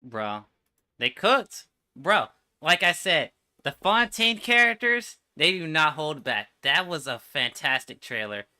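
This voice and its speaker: Bright-voiced man streaming casual reactions. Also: a little high, very animated, takes it slowly. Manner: informal, slangy, enthusiastic. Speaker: streamer reacting to game trailers. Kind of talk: casual livestream commentary.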